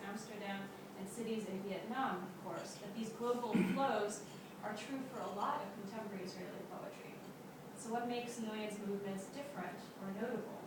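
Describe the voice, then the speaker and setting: A woman giving an academic conference talk, reading a prepared paper in a measured way with some fillers. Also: medium pitch. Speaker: conference speaker. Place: lecture hall. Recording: echoey hall from far off, loud hiss.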